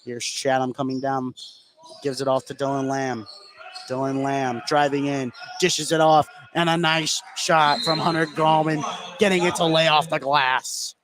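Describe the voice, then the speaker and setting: An American man doing excitable live play-by-play basketball commentary for radio, narrating the action in running phrases. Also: mid-range voice, unhurried, a crowd in the background. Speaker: play-by-play sports announcer.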